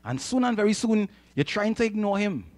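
A Trinidadian man preaches in a high voice that swings widely in pitch. He drives his points home with repeated phrases and checks in with his listeners for agreement. The sound is close and dry on a handheld microphone. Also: big pitch swings, fairly quick, a little mellow, dry recording. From Trinidad and Tobago. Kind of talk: animated sermon with audience call-and-response. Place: church hall, speaking from the stage.

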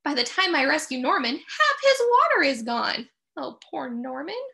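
A middle-aged woman reads a children's picture book in a high voice that swings widely up and down. Her delivery is expressive and sing-song, stretching key phrases for young listeners. A creaky edge runs through the voice as she turns to ask them questions. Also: normal speed. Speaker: teacher reading a picture book aloud.

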